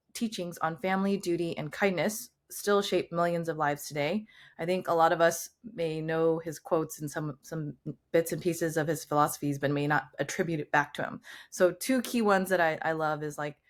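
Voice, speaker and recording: A woman from Southern California hosting an interview, reading a short intro and then chatting with many "um"s and "like"s. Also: low voice. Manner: friendly, filler-heavy with "um" and "like". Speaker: podcast host.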